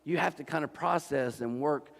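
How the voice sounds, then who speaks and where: Preacher in his 40s from Ohio, slightly high voice, chatty sermon delivery with asides to the crowd, long church-hall reverb. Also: moderate pace, gravelly. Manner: conversational, repeats and restarts, asks the crowd "right?". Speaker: pastor. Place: church auditorium.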